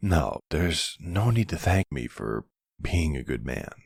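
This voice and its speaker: A male actor plays an older mafia boss for an intimate roleplay audio drama. The voice is deep and husky with a slow, measured pace. He sounds calm, devoted and affectionate. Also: dry recording, clean studio sound, full character voice. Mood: tender, relaxed. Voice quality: low, gravelly.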